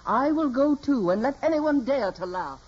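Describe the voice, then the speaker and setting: An actress playing a blunt, strong-willed, protective aunt with a firm, brisk, authoritative manner, in 1940s radio drama style. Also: female voice, high voice, lively intonation, a little mellow, slightly rough. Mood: commanding. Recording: muffled old radio recording, music behind.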